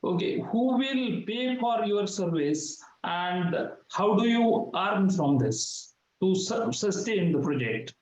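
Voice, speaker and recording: A man's voice: high voice, animated, slow pace, few pauses, a little mellow. A man judging a hackathon, asking presenters probing questions about their projects. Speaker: hackathon judge. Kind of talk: asking questions on a video call. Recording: echoey lo-fi call audio.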